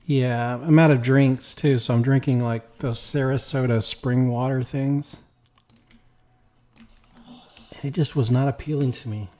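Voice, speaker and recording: A man with a slightly raspy, weak voice, tired after work, chatting casually while playing a game. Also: mid-range voice. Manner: rambling, relaxed, talks while playing. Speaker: gaming YouTuber. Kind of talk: casual gameplay commentary.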